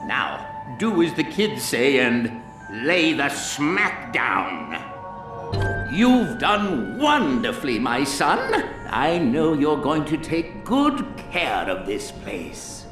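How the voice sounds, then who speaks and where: A man's voice: medium pitch, huge pitch swings, extremely slow, full character voice. An actor playing an eccentric, jolly alien creator in a game, speaking to his pupil in a whimsical, theatrical, fatherly way. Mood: playful encouragement. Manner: whimsical, theatrical, chuckling delivery. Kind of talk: animated game cutscene acting. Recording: echoey, with loud music behind.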